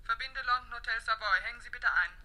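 A woman's voice: medium pitch, fairly even intonation, fast talker, a crowd in the background, natural voice. An actress playing a curt, efficient woman on night duty, speaking over the telephone in a German radio crime drama. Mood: efficient, official. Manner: clipped, official. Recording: noisy, clicking telephone line.

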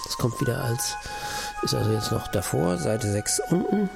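A man works through a musical score, explaining each passage in a halting, musing way. His voice is strongly gravelly. Loud instrumental music plays behind him. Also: medium pitch, lively intonation. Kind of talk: explaining a score while working.

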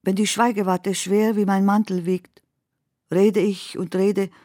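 A woman reciting poetry in a radio play with measured, deliberate phrasing. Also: medium pitch, little pitch movement, mellow, no echo, clean studio sound. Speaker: poet reading own text. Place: dry studio.

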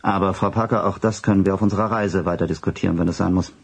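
Haughty guarded doctor, deep, creaky, raspy, formal, measured, radio drama. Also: male voice, fairly even intonation, full character voice. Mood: reluctant, condescending. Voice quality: gravelly, husky. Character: stiff, guarded, disapproving male doctor of conservative views. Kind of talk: German radio drama acting.

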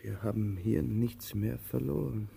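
An actor in a German radio play, playing an aging vagabond who is anxious and hopeful, with a husky voice and halting, theatrical delivery. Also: male voice, on the low side, full character voice. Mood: weary, subdued. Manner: halting questions, repeats himself, theatrical swings from urgent to hushed. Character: aging male vagabond, anxious, hopeful, caring for his companion.

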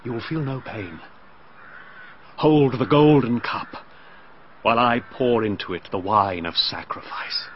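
An actor playing a calm, menacing man who performs a ritual sacrifice in a radio drama, speaking in a soft, solemn, hypnotic way. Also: mid-range voice, measured pace, pauses often, slight background noise, close to an everyday voice. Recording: dull lo-fi broadcast recording.

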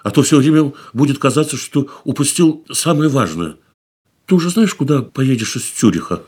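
Radio play: weary consoling man, husky, lively melodic delivery, quiet and reflective. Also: medium pitch, normal speed. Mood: gentle, reflective. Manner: soft, reflective, calls her 'darling' tenderly. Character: weary worldly middle-aged man, gentle, consoling. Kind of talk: studio radio drama acting.

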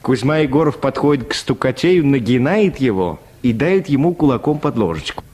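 A male narrator reads a dramatized literary story for radio. His voice sits at a medium pitch and moves up and down with lively intonation. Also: normal speed, slightly rough.

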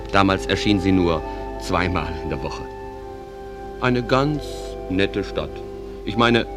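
A genial stage manager speaks straight to the audience in a low voice. He rambles conversationally and without hurry, as in a radio play, with prominent music behind him. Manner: conversational, unhurried, digressive. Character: genial stage manager introducing a small town. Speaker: narrator. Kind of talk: theatrical narration addressed to the audience.

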